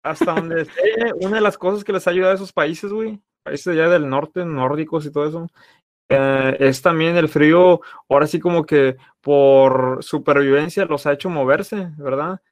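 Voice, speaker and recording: A man's voice: fairly high pitch, moderate pace, dry recording. A man with a Mexican Spanish accent, chatting casually as a podcast co-host, rambling and checking in with tag questions. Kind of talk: casual chat.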